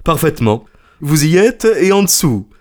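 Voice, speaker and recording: A male narrator plays a shrewd, self-assured storybook detective. He speaks in a smooth, resonant voice, lifted a little and very articulate. His manner is courteous yet commanding and deliberate. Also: mid-range voice, voice pushed up, lightly acted. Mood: shrewd, pleased. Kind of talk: audiobook character voice.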